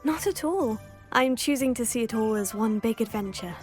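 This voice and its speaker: A woman plays a teenage student from a fantasy game. Her fairly high voice is polite, composed and earnest, with faint music behind it. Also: expressive, normal speed, lightly acted. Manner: courteous, earnest. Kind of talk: video game character acting.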